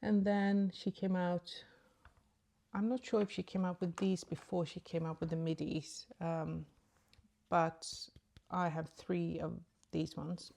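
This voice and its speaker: Lady rambling thru her makeup, lots of ums, lowish voice, sleepy morning vibe. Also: level tone, takes it slowly, vocal fry, warm tone. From Sweden. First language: Swedish. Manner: rambling and chatty, many ums. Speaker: beauty vlogger. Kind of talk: casual makeup tutorial chat.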